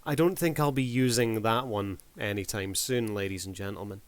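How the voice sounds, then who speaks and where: A man's voice: on the low side, moderate pace, clean tone, no echo. A man hosting a review video, giving casual commentary in a showman-like manner with formal addresses to the audience.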